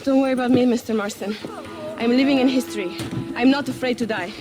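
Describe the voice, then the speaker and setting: An actress playing an earnest, fearless young Mexican revolutionary in a video game, speaking formally and with passion in a Mexican accent. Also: female voice, on the high side, quick pace, music in the background, natural voice. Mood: resolute. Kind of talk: video game cutscene acting.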